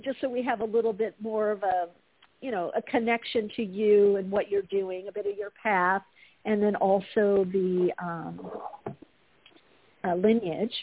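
A woman's voice: mid-range voice, unhurried, gravelly, breathy edge. A woman hosting an interview over a video call, bubbly and chatty, asking long rambling questions. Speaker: interview host. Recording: dry, phone-like narrow line.

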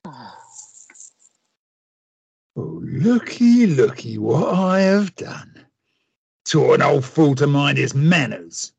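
An actor playing a menacing, taunting villain in a radio play, with a raspy voice and a theatrical drawl. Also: male voice, medium pitch, very animated, very slow, long pauses, darkish tone, dry recording, dull audio, heavily acted. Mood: gloating menace. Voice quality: raspy, gravelly. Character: Mister Hyde, menacing, gloating, taunting male villain.

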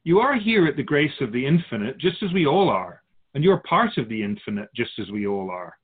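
A man playing an otherworldly, wise spiritual guide in a radio drama, measured and instructive with gentle amusement. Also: mid-range voice, very animated, breathy edge. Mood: serene, knowing. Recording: slightly muffled, phone-like narrow sound.

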